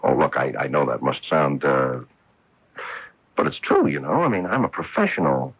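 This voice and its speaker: An actor playing an earnest, wistful professional golfer in a radio mystery drama, with a husky voice. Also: male voice, rumbling low, wildly swooping pitch, normal speed, full character voice. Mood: dismissive, insistent. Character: wistful, earnest male professional golfer recalling his wife. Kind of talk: radio mystery drama acting, narration and dialogue. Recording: dull lo-fi AM radio broadcast.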